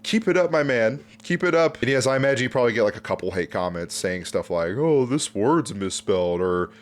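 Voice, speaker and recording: A man's voice: medium pitch, lively intonation, fairly quick. A man hosting an online video, giving casual, off-the-cuff commentary in a chatty, informal, rambling way. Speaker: YouTube host reviewing fan videos.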